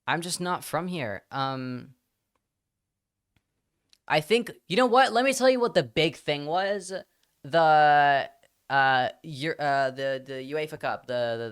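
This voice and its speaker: A man raised in Spain, speaking with an American accent, chatting casually on a livestream, rambling and animated and slipping between English and Spanish. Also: on the high side, clean tone. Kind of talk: casual livestream chat, answering chat questions.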